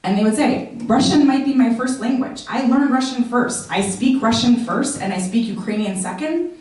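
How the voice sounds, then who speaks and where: A woman's voice: fairly high pitch, moderate pace, warm tone. An American woman giving an informal presentation to an audience, talking conversationally with frequent "um" fillers. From United States. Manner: conversational, many "um" fillers, explanatory. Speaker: guest speaker. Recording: echoey room, distant mic.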